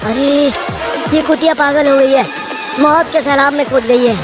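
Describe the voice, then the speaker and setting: An alarmed onlooker from a dramatic story, acted by a woman. The voice is high and exclaiming. Music is clear in the background. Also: normal speed, natural voice.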